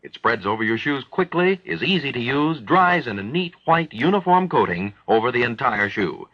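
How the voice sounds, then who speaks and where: A man reading a 1940s radio advertisement as an announcer, with salesman-like emphasis and a husky voice. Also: mid-range voice, big pitch swings, normal speed, dry recording. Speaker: commercial and network announcer. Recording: dull, dry vintage radio recording.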